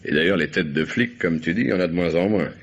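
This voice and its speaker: A low, rough-edged male gangster in a radio thriller. He talks in blunt slang, bossy and distrustful, on a dull old broadcast recording. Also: quick pace. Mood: suspicious, wry. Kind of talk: French radio crime drama acting.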